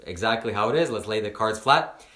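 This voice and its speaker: A man's voice: on the low side, lively intonation, brisk, pushed and bright, echoey room. A man in his twenties, a spiritual teacher guesting on a podcast, talking earnestly with many fillers and repeated words. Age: 20s. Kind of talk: casual podcast chat.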